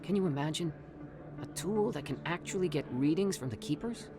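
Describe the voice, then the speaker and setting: Evasive alien scientist over music. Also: male voice, on the high side, expressive, fairly quick. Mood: eager. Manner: smooth-talking, self-justifying, persuasive. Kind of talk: video game character acting.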